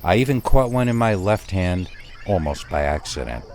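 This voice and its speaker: A low-pitched male storyteller delivers a first-person horror tale. He is deliberate and suspenseful, leaving dramatic pauses between phrases. A bed of nighttime crickets and insects runs behind him. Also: animated, a little mellow, a little hiss or hum. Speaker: narrator of scary stories.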